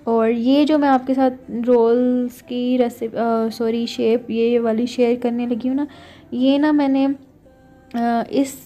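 Young woman, casual recipe talk, fairly high but flat voice, mellow tone, keeps checking in with viewers. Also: slow pace, soft background music. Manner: chatty, keeps saying "theek hai" to check in with viewers. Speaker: cooking vlogger. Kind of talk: casual cooking narration.

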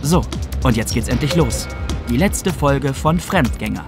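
Music plays clearly under a man with a medium-pitched voice. He announces a podcast in scripted promo style, with very lively intonation. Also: a little slow. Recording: music bed under studio voice-over.

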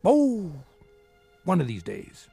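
An actor playing a charming, hard-drinking middle-aged bookie in a radio drama, full of flowery, mock-grand flattery. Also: male voice, high-pitched, takes it slowly, creaky, husky, faint music behind, heavily acted. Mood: boastful, resolute.

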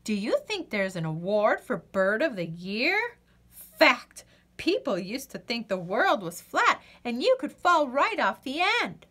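Chatty young female storybook bird, played by a woman reader: high, bright, gushing, easily distracted, swooping pitch. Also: big pitch swings, heavily acted. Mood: eager. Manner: gushing, rambling, drawn-out exclamations. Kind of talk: storybook read-aloud.

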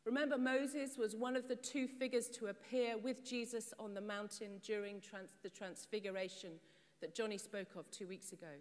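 A woman's voice: mid-range voice, steady tone. Middle-aged woman preaching in an echoing church. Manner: measured, explanatory preaching with rhetorical questions. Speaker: priest. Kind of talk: sermon. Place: stone church, at a pulpit. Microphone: far camera. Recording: long church reverb.

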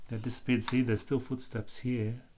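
A man's voice: mid-range voice, flat delivery, normal speed, darkish tone, slight background noise. A man reading a picture book aloud to a small child, explaining the pictures and asking questions in casual asides.

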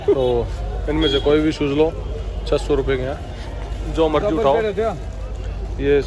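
A man's voice: a little high, level tone, unhurried, crowd noise. Chatty young man vlogging outdoors. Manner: chatty, talks straight to viewers. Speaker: travel vlogger. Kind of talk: casual walk-and-talk vlog narration. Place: outdoors in a busy street market. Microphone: camera-mounted mic. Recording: busy outdoor street noise.

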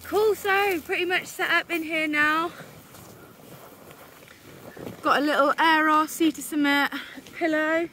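A woman's voice: sits high, steady tone, normal speed, bright, forceful tone, slight background noise. A young woman chatting casually to her camera while wild camping on a mountainside, laughing often. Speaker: vlogger. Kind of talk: casual outdoor vlog narration. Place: outdoors on a mountainside by a lake. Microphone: handheld camera selfie.

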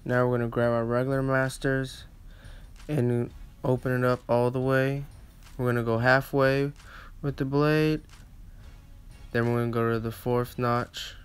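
Casting: male barber for a tutorial voice-over, smooth medium-pitched voice, flat casual delivery, slightly halting phrasing. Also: faint music behind. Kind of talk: casual step-by-step instructional narration.

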